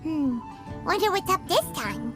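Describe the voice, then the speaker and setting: A woman voicing a tiny, cheerful, chatty fairy companion girl in an anime game, with an excitable, cutesy delivery. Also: sits high, unhurried, music in the background. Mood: curious.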